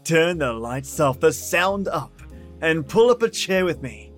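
A male podcast host speaks to his listeners in a chummy, storyteller-like way. His voice sits somewhat high, with theatrical touches, over faint plucked guitar. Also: big pitch swings, unhurried. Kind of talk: scripted, intimate podcast introduction. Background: soft guitar music.

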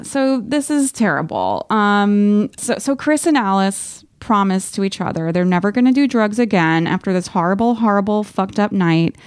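Female co-host: casual, wry, slangy, animated storyteller, medium pitch, dry recording. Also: clear, resonant tone, no room sound. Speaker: podcast co-host. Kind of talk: casual book-discussion chat.

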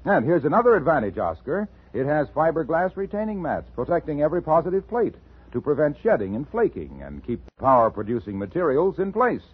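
A man announcing a 1950s radio commercial with an emphatic, salesmanlike pitch. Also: sing-song, a little fast, breathy edge. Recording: muffled, lo-fi old radio recording.

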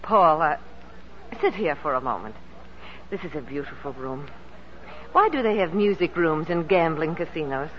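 An actress playing an elegant, secretly fragile woman in love, with halting phrases, in 1940s radio drama style. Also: low-pitched, expressive, slight background noise. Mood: wistful, troubled. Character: elegant, secretly ill woman, tender and evasive lover. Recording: muffled 1940s radio broadcast with music.

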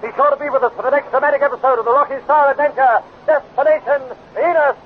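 A man's voice: extremely high pitch, steady tone, quick pace. An actor performing as the dramatic, declamatory male narrator of a 1950s children's space adventure radio serial. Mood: urgent, proclaiming. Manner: declamatory, urgent. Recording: tinny, noisy 1950s radio recording.

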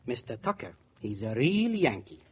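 A husky, lively-pitched German immigrant husband in a 1940s radio play. He is hospitable and emphatic, speaking stilted English in a slightly high male voice on a dull old recording. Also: normal speed. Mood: earnest. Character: warm-hearted, hospitable immigrant husband and handyman servant. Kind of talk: 1940s radio drama acting.

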